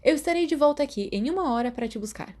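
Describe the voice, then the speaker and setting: A woman's voice: high voice, lively intonation, racing, darkish tone, voice pushed up. A woman with a Brazilian accent voicing a cocky, protective teenage boy wizard in a brash, teasing manner. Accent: Brazilian Portuguese.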